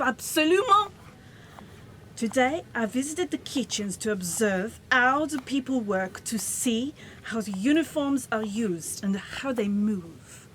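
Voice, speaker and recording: A woman acts out a snobbish French fashion lady reading her wartime journal in a radio play. Her voice is high and slow, with a French accent and dramatic flourishes. There is faint noise behind her. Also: fairly bright tone. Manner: theatrical, haughty, dramatic.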